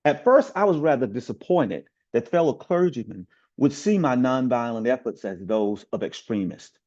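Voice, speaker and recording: A man from the community reciting a written speech with oratorical emphasis, drawn-out words and falling phrase endings, in a dull, slightly lo-fi recording. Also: medium pitch, warm tone. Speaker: community member reading aloud.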